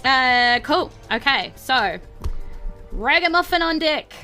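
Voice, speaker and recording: A woman's voice: high-pitched, lively intonation, slow, sharp tone, faint music behind. A woman casually narrating a tabletop game as game master in an informal, giggly way. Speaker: game master of a tabletop role-playing podcast.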